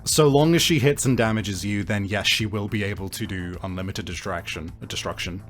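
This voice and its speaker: A man acting as game master of a tabletop role-playing game, explaining rules in a deliberate, hesitant way. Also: fairly low pitch. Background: music.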